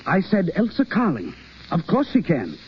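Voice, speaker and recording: A commanding acting coach of the theatre, high-pitched and urgent, in a 1930s radio drama. The old recording is muffled, with a clear hiss of noise. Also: male voice, huge pitch swings, moderate pace.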